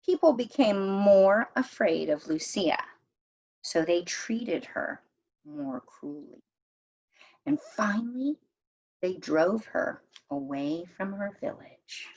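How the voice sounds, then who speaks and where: A woman reading a children's picture book aloud in a measured, expressive storytime manner, as if to young listeners. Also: medium pitch, highs cut off. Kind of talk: read-aloud storytime narration.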